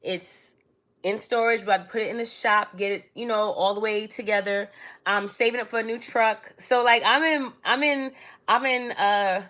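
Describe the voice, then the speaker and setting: A woman's voice: on the high side, fairly even intonation, moderate pace, pushed and bright. A woman, born in Trinidad and raised in New York City, chatting casually to viewers on her own livestream and calling them darling and baby. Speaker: livestream host.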